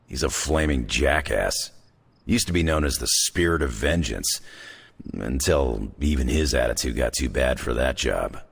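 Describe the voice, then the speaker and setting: Gruff veteran game hero, bottomless bass voice, heavy gravel, wry, laconic, folksy. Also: male voice, lively intonation, measured pace, heavily acted. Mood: dry, sardonic. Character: gruff, wry veteran fighter, rugged middle-aged man. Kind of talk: video game cutscene dialogue.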